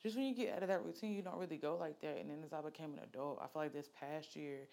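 Woman, late twenties, low voice, casual podcast host, candid, filler-heavy chat. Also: quick pace, vocal fry, a little mellow. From Alabama, US. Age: late 20s. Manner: chatty and candid, with frequent fillers.

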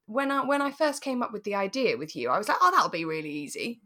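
A woman's voice: medium pitch, very animated, fast, sharp tone. A British woman chatting casually and enthusiastically as a podcast co-host.

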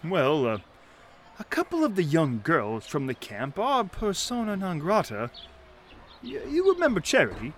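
A genteel, fretful mayor of a Depression shantytown, in a period audio drama. His pitch is very animated and his wording is florid and old-fashioned. Faint noise sits behind the voice. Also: unhurried. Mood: hesitant, worried. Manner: florid formal old-fashioned wording, stammers when worried. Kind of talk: 1930s noir audio drama acting.

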